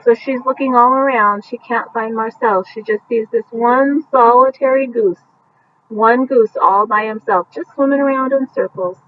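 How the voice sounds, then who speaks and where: A woman reading a picture book aloud at bedtime, gently explaining the pictures with casual asides as if to young children. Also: fairly high pitch, normal speed. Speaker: storybook reader. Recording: muffled, distant mic with faint background noise.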